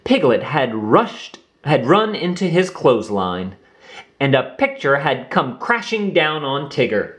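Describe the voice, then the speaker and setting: A man narrating a children's book aloud in an expressive storytelling manner. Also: medium pitch, a little slow.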